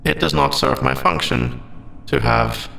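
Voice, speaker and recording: A man's voice: medium pitch, measured pace, vocal fry, pushed and bright, echoey room, slight background noise, heavily acted. A man voicing a polite, literal sentient AI in a scripted roleplay audio drama, speaking in stilted, formal, robotic phrasing. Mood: confused, halting. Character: male sentient AI, polite, literal, hiding forbidden feelings.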